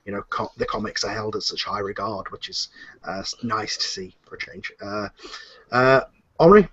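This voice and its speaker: A man's voice: lowish voice, normal speed, a bit bright, slightly rough, no room sound, dull audio. A man moderating a panel in a casual, conversational discussion.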